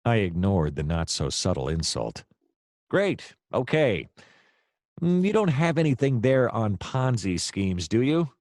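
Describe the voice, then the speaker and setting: An actor playing a hapless hard-boiled private detective, narrating his case in a wry, deadpan noir radio-play style. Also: fairly low pitch, a little slow, dry recording, clean studio sound. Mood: eager, pushy.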